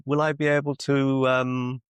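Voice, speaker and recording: A man coaching one-to-one on a video call as a mentor, deliberate and encouraging, often repeating phrases for emphasis. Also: medium pitch, level tone, smooth, dry recording. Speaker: business mentor and clinician.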